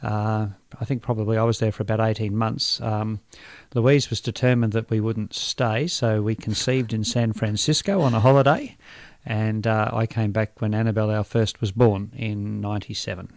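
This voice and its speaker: A man in his forties with an Australian accent, a doctor talking as a guest in a radio studio, relaxed and thoughtful with frequent fillers. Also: on the low side, moderate pace, no room sound. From Brisbane, Australia. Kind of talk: radio interview. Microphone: studio microphone.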